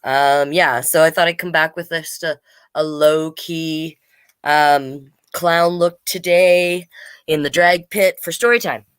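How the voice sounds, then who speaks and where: A woman's voice: low voice, steady tone, slow, clean tone, dry recording, studio quality. A woman hosting a children's storytime livestream, chatting casually and reading aloud in a playful, rambling way.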